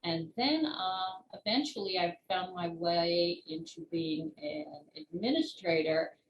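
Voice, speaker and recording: An older woman, in her sixties or beyond, answering interview questions as an author and former teacher in a measured, explanatory way with pauses between short phrases. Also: lowish voice, sing-song, muffled recording. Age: 60s or older. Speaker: children's book author and retired teacher being interviewed. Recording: roomy echo with clear background noise.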